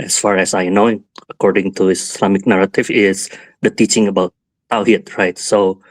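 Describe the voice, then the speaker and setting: A man with an Indonesian accent calls in, his voice somewhat low and dry. He talks hesitantly, checks himself and apologizes as he hunts for English words. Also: dry recording. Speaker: caller. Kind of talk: call-in question.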